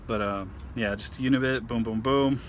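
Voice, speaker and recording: A man giving an informal, halting how-to demonstration, thinking aloud as he goes. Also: mid-range voice, fairly even intonation. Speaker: amateur truck owner showing a DIY install. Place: outdoors at a truck. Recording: handheld outdoor recording with clear background noise.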